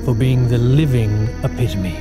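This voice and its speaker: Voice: medium pitch, moderate pace, loud music behind the voice, lightly acted. A performer voicing a gloating, formal otherworldly entity. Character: sinister otherworldly entity, mocking.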